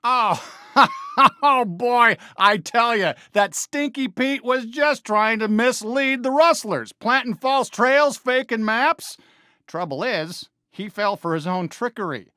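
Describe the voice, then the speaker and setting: A man voicing a friendly, earnest, excitable cartoon cowboy sheriff for a video game, with an American accent and a folksy drawl full of cowboy slang. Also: very high-pitched, takes it slowly, dry recording, studio quality, full character voice. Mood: amused, relieved. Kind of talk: animated game character voice lines.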